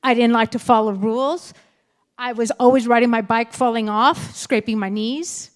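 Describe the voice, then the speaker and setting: A woman's voice: a little high, moderate pace. Frank keynote woman in her fifties. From California, United States. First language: Spanish. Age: 50s. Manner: frank, lively, talks to the audience directly. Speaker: keynote speaker. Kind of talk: candid motivational speech. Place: school assembly stage.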